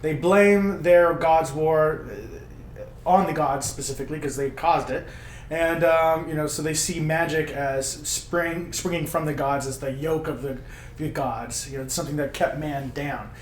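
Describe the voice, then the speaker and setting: A man, a tabletop player, casually and haltingly describing his game character. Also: on the high side, fairly even intonation. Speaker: tabletop role-playing player. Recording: echoey room with faint noise.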